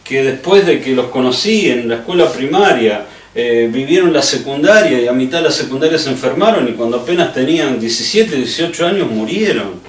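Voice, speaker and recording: A man's voice: mid-range voice, expressive, fairly quick, few pauses. Echoey room, distant mic, middle-aged man, Rioplatense Spanish accent, conversational preacher, earnest, direct. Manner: direct, earnest address to the listener with rhetorical questions. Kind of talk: conversational sermon.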